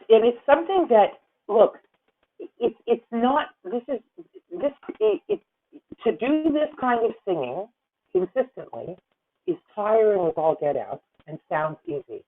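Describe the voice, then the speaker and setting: A woman's voice: on the high side, sing-song, measured pace. A woman in her fifties coaching a singer over a video call, effusive and rambling, calling her student honey. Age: 50s. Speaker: voice teacher. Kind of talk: masterclass coaching. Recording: tinny video call audio.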